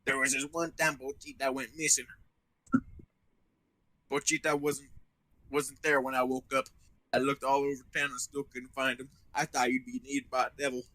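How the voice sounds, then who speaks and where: A man playing a rough young devil hunter, crude but tender, with a blunt delivery in an anime fan dub. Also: mid-range voice, little pitch movement, moderate pace, pauses often, pushed and bright, no echo, close to an everyday voice, rough recording. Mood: wistful.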